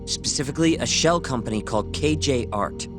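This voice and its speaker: Casting: male actor as self-assured young tech rebel, natural game dialogue, mid-pitched, clear music bed. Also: animated, a little fast, natural voice. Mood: bitter. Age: young adult. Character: cool, self-assured young male hacker turned vigilante.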